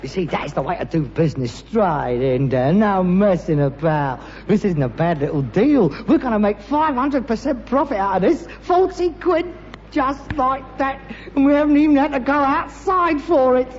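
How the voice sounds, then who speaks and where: Animated, argumentative London junk man. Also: high-pitched, moderate pace. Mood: gleeful. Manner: scornful, emphatic, mock-genteel. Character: argumentative, gullible, aspiring rag-and-bone man, the son. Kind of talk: British sitcom acting. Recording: muffled, noisy, echoey old broadcast recording.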